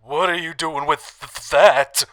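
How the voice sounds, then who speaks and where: A man's voice: a little high, fairly even intonation, measured pace, pushed and bright. Man as an indignant, piercing haggling customer. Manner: blustering. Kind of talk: radio drama dialogue.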